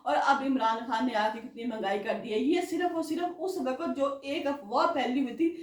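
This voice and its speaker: Woman, high voice, political commentator, indignant; distant mic, echoey room. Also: normal speed. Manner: earnest, rising to indignant rhetorical questions. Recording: roomy echo from a distance.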